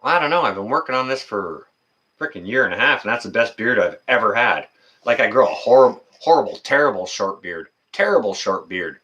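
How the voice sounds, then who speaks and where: A man in his fifties hosting a casual livestream chat, talking in a rambling, folksy, halting way. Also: lowish voice, lively intonation, bright, forceful tone, highs cut off. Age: 50s. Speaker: livestream host.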